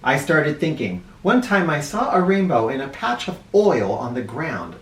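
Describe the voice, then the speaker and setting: A man tells a children's story in a roomy, echoing space, some distance from the microphone. His delivery swings widely in pitch, theatrical and animated, leaning on key words with drawn-out emphasis. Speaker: children's read-aloud storyteller. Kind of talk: expressive storybook read-aloud. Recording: roomy echo, distant mic.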